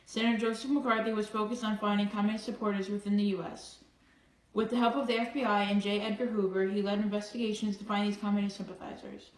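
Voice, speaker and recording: Woman, brisk scripted news reading, flat intonation, student reporter, echoey and distant from mic. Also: medium pitch. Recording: echoey room, distant from microphone.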